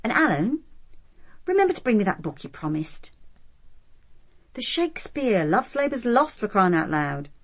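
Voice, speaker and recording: A woman's voice: medium pitch, big pitch swings, normal speed, pauses often. An actress playing a tough, defiant policewoman held hostage, with a British accent and an urgent, challenging manner, in a radio drama. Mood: forced brightness.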